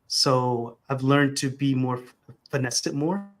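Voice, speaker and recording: A man speaks as a guest on a casual podcast. His voice sits at an ordinary middle pitch, and his talk is thoughtful and loosely built, full of restarts as he works out his thoughts. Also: measured pace. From America. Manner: reflective, conversational, frequent restarts.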